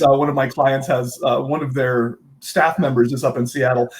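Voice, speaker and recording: A chatty man hosting a relaxed interview, his voice sitting a little higher than most men's. He rambles loosely and often stops to correct himself mid-sentence. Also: poor audio. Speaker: interview host, co-working board secretary. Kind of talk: casual interview chat.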